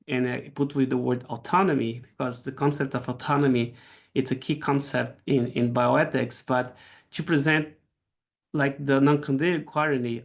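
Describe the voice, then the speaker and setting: Brazilian-accented man, middle-aged, gravelly voice, halting lecture delivery on a video call. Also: mid-range voice. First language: Portuguese. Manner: halting, frequent restarts and repeated words. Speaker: guest speaker. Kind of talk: academic seminar lecture.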